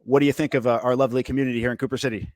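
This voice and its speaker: A podcast host in his forties asking long, rambling questions in a measured way, full of fillers. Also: mid-range voice, fairly even intonation, a little mellow, dry recording. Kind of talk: casual interview chat. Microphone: headset, studio. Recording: dry, close mic.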